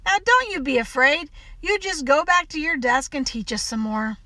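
Storybook part: gallant little country boy, voiced by a woman, Southern accent, high, earnest, well-mannered. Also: animated, moderate pace, pushed and bright, no room sound. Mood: gentle. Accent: American South. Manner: polite, eager, reassuring.

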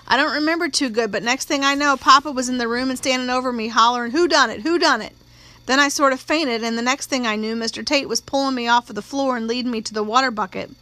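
Audiobook role: woman reader as scared, defensive country girl, nineteen, bright high voice, folksy grammar. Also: fast. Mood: agitated, insistent. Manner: folksy, ungrammatical country speech. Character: young rural girl of nineteen, fearful, tearful, stubbornly defensive. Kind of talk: acted audiobook dialogue.

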